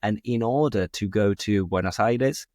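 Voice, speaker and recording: A man's voice: on the low side, a little slow, a little mellow, no room sound, clean studio sound. A man with a British accent hosting a casual conversation, asking curious, easygoing questions. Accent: British English. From England. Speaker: podcast host, English teacher.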